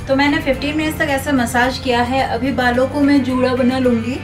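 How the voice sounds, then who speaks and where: A young woman with a slightly high voice talks through a hair care routine in a calm, patient, instructive way. She sits some way back from the camera's mic, so her voice sounds distant. Clear music plays behind her the whole time. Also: fairly quick. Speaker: beauty vlogger. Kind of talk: step-by-step tutorial. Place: small room indoors.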